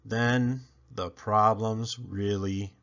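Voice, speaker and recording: A man reading a children's picture book aloud in an animated storyteller style, with dramatic, emphatic phrasing and playful swoops. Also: on the low side, extremely slow, dull audio.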